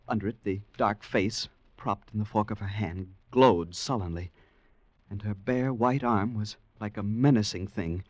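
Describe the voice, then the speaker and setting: A man's voice: low-pitched, husky, no room sound. An actor with an American accent playing a hapless, polite tourist who narrates his misadventure in a 1940s radio drama. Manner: hesitant, stammering in dialogue, dramatic when narrating. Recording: noisy vintage 1940s radio broadcast.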